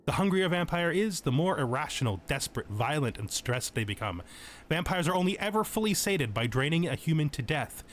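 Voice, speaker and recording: A man's voice: fairly high pitch, big pitch swings, a little fast, slight background noise. A man giving a tutorial-style gaming commentary, moving between reading out game text and explaining it informally. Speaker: host of a gaming channel.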